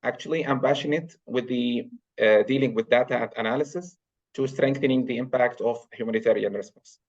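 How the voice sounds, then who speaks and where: A man's voice: medium pitch, fairly quick. Brief: man, muffled call audio. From Syria. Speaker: panelist, humanitarian data worker. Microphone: video call.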